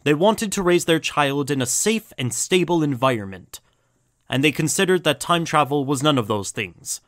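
A man's voice: a little high, lively intonation, normal speed, fairly bright tone, no room sound, clean studio sound. A man playing an earnest doctor recounting a story in an audio drama. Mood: earnest storytelling. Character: earnest male doctor and time traveller, recounting.

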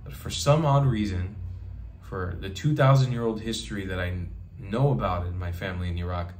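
A man's voice: low-pitched, moderate pace, darkish tone, a little hiss or hum. Emphatic man speaking straight to camera. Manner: emphatic, repeats phrases for rhetorical effect, gestures with hands. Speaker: travel vlogger. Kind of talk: impassioned direct-to-camera monologue. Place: small bedroom indoors.

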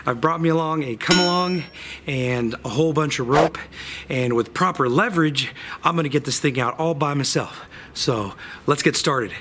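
A man's voice: fairly high pitch, normal speed, noisy background. A man, narrating his outdoor work straight to camera in a plain-spoken, joking way, recorded on an action camera by a creek. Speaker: outdoor explorer vlogger. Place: outdoors by a creek under a bridge. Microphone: action camera held or mounted close.

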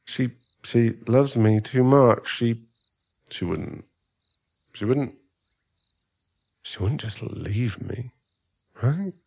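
A man's voice: medium pitch, very slow, creaky, pauses often, darkish tone, slightly rough, muffled recording, heavily acted. A man playing a remorseful sugar-daddy boyfriend in an ASMR audio roleplay, hesitant and stammering as he earnestly pleads for forgiveness. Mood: anxious, wounded.

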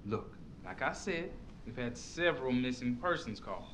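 An actor playing a skeptical sheriff's deputy in a horror video game, patronising and authoritative. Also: male voice, medium pitch, animated, moderate pace, heavy background noise, close to an everyday voice. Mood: condescending, wary. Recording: faint background ambience.

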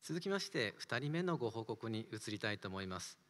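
A man's voice: lowish voice, very animated, racing, fairly bright tone, big-room sound. An older man moderating a seminar from a studio at a desk mic, polite and formal as he introduces the speakers. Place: studio with wood panels.

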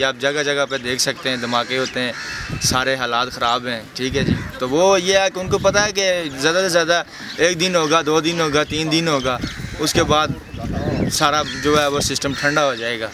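A young man, a taxi driver who keeps leaning on the same filler phrase in an outdoor interview. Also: fairly high pitch. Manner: leans heavily on the filler "jo hai woh". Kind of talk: documentary interview. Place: outdoors near a mosque. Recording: clear outdoor traffic noise.

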